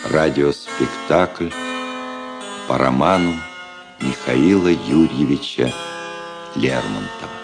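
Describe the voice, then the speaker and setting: A man's voice: extremely low pitch, takes it slowly, pauses often. A man formally announcing the titles of a radio play, with solemn spacing between phrases. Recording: guitar music clearly behind the voice.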